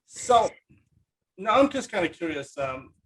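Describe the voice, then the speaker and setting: Higher-voiced man, rambling casual host. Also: sing-song, a bit bright, poor audio. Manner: rambling, halting, enthusiastic. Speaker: podcast host, writer. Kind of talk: casual chat.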